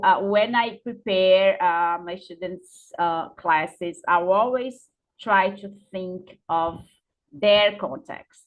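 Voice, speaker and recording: A Brazilian woman who teaches English speaks in a Brazilian Portuguese accent at a slow, unhurried pace. She answers questions thoughtfully, pausing and restarting often. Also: medium pitch, rough recording. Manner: thoughtful, many uh fillers and restarts. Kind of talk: answering students' questions over a video call.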